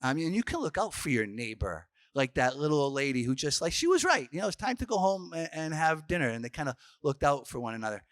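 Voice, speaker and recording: A man's voice: a little high, big pitch swings, quick pace, hardly any pauses, fairly bright tone, no echo, studio quality. A man, a Franciscan priest telling stories in a casual youth workshop talk, full of restarts and fillers. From Boston, Massachusetts. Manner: chatty storyteller, frequent restarts and fillers.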